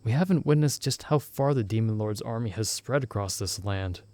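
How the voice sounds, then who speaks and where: A young man voicing a loyal friend stranded in another world, who talks in a soft-spoken, gently teasing and reassuring way in an ASMR roleplay recorded close to the mic. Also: lowish voice, normal speed, no echo, close to an everyday voice. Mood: gentle, reassuring.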